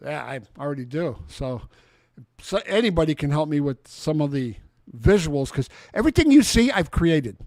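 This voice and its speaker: A man's voice: fairly high pitch, very animated, moderate pace, darkish tone, no room sound, studio quality. A man in his sixties hosting a casual political livestream chat. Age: 60s. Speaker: talk show host.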